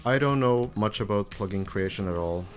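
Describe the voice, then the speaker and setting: A man's voice: fairly low pitch, normal speed, soft background music. A man from Finland, a Finnish native speaker, chatting casually on a live stream as he comments on a game and answers viewers. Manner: relaxed, chatty, answers viewers with light laughs.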